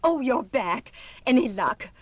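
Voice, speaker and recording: A woman playing a fretful, kindly orphanage matron with a slightly husky, fluttery voice in an audio drama. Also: on the high side, big pitch swings, unhurried, no echo. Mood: worried. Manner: fluttery, anxious. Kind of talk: cartoon audio drama acting.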